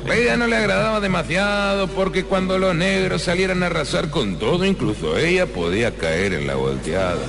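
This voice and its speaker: A man's voice: sits high, lively intonation, fairly quick, creaky, few pauses, long reverb tail, over music. A man with an Argentine accent giving melodramatic scripted narration for a comic radio serial. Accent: Argentine Rioplatense.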